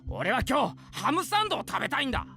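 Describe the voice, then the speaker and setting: A man acting a resentful, hot-headed teenage boy in a Japanese visual novel, with rough, combative delivery. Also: very high-pitched, huge pitch swings, racing, bright, forceful tone, full character voice. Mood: irritated.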